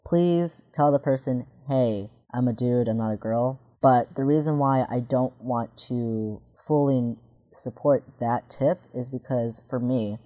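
A man in his twenties with a deep voice, speaking candidly in a casual discussion and thinking aloud with restarts. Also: dark tone. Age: 20s.

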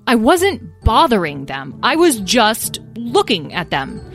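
A woman's voice: a little high, wildly swooping pitch, slow pace, a bit bright, faint music behind, voice pushed up, full character voice. A woman voicing a young dragon child in a children's story, sheepish and defensive, with hesitant, earnest protests.

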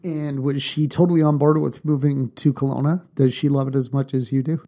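A man's voice: mid-range voice, steady tone, a little fast, warm tone. A man hosting a casual interview, asking questions in a friendly, encouraging way. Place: office.

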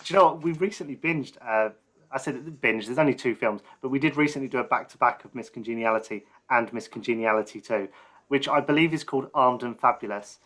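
A man hosting a podcast interview in a relaxed, friendly conversational style. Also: mid-range voice, quick pace. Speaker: podcast host. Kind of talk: casual interview chat.

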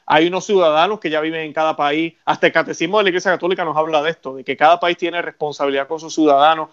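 A Puerto Rican man hosting a talk show, holding forth earnestly with a preacher's conviction. His voice sits a little high for a man, and the sound is dull and lo-fi. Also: fairly even intonation, racing, clear, resonant tone. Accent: Puerto Rican Spanish. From Puerto Rico. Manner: earnest, preaching tone with religious references. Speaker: radio show host.

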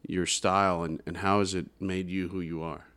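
A man with a lowish voice hosts a casual interview. He stumbles through his questions, restarting and repeating words as he goes. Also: level tone, a bit bright, smooth. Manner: halting, restarts often, stammers. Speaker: podcast host.

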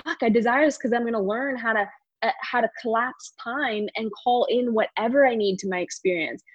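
A woman's voice: on the high side, fairly quick, husky, no echo. Female life coach for podcast interview. Emphatic, repeats key words, close dry sound with a muffled edge. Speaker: coach and course teacher.

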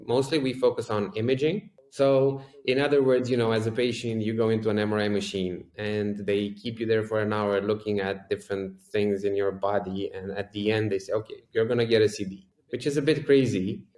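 Romanian founder, 30s, halting and wry, echoey room sound. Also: male voice, on the low side, steady tone, a little mellow. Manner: hesitant, many restarts, dry humor. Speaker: startup founder, guest. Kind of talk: casual interview answers.

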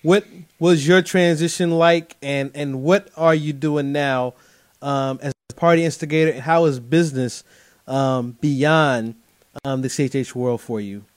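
Man, podcast host, casual, restarts words, says bro, fairly high voice, dry close mic. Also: steady tone, unhurried, no echo. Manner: conversational, uses "bro", stammers restarts when starting questions.

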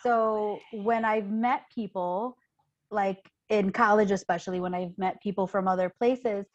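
A woman from Chicago talks as a guest on a casual podcast. She chats freely, with a lot of "like" and "you know", in a middle-pitched voice. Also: fairly even intonation, unhurried, muffled recording. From Chicago, USA. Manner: chatty, lots of fillers like "like" and "you know".

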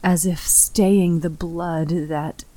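A woman giving a dramatic scripted narration of a horror story in a grave, breathy manner, often dropping to a near whisper. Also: fairly low pitch, fairly even intonation, takes it slowly, mellow.

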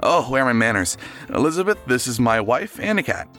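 A man's voice: mid-range voice, big pitch swings, a little fast, fairly bright tone, soft background music. A man playing an affable, slightly fussy and flustered husband hosting guests in an audio drama. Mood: polite, flustered.

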